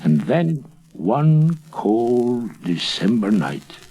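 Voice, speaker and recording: A man's voice: mid-range voice, sing-song, very slow, warm tone, husky, exaggerated voice, low-quality recording. A man playing an old shepherd who recalls a ghostly sight with haunted, intense awe in a dramatic tale.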